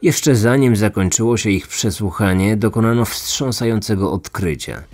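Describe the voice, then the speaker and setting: A man's voice: lowish voice, normal speed, darkish tone. A man reading a scripted true crime story as the narrator and host. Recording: music under the voice. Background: background music.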